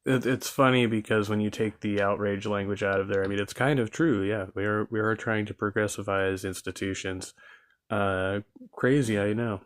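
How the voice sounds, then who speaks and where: Man, scratchy throat, casual livestream commentary, lowish voice, loose and thinking aloud. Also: moderate pace. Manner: relaxed, thinks aloud with fillers and throat clearing. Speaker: livestream host reviewing a video.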